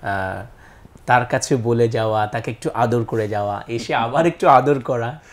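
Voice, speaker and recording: A man's voice: medium pitch, unhurried. A man with a Bangladeshi accent, a guest singer talking conversationally on a talk show.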